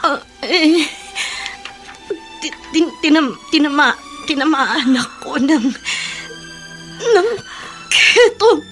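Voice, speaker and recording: A woman's voice: sits high, expressive, takes it slowly, pauses often, a bit bright, faint music behind, exaggerated voice. An actress playing a neighborly, easily flustered woman patient with a secret in a radio drama. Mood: flustered, ashamed. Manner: hesitant, stammering. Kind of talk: Tagalog radio drama acting.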